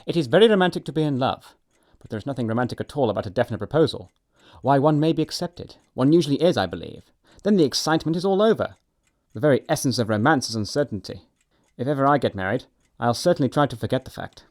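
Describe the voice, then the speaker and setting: An actor playing a flippant, witty young gentleman of leisure, breezy and arch, with an upper-class English accent. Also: medium pitch, animated, fast talker, natural voice. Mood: arch, teasing. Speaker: actor in an audiobook production. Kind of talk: stage comedy acting.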